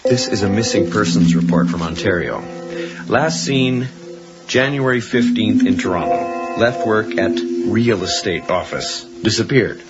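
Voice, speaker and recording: Supporting radio role: helpful, brisk colleague, lowish pitch, muffled broadcast with music underneath. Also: male voice, animated. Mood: matter-of-fact. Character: eager, helpful male colleague with missing-person report. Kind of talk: 1980s radio drama acting.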